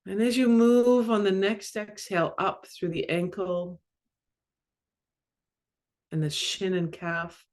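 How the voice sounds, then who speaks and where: A woman leading a guided meditation as a mindfulness coach, speaking calmly and measuredly in short phrases with long pauses. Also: medium pitch. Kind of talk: guided body scan meditation.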